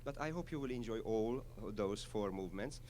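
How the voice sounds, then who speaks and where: A man from Hungary with a Hungarian accent, a conductor introducing a piece to a concert audience, halting but enthusiastic with frequent fillers. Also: fairly low pitch, expressive, a little mellow, slight background noise. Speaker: orchestra conductor.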